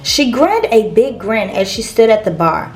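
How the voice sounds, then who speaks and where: A woman's voice: fairly high pitch, very animated, moderate pace, darkish tone. A woman reading a children's picture book aloud as a storyteller.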